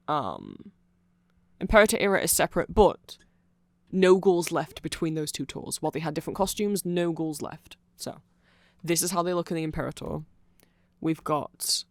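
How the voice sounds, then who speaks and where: ASMR creator, medium pitch, nerdy off-the-cuff explainer, rambling, frequent asides, close-miked, very little room sound. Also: dry recording. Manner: nerdy, rambling, trailing asides and self-corrections. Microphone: close microphone in a dry space.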